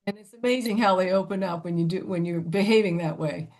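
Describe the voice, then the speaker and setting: Older woman with a youthful, chatty voice. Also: fast. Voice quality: youthful-sounding voice. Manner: chatty, lively pitch swings, frequent "you know" and "right?". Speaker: webinar presenter. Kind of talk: conversational webinar talk.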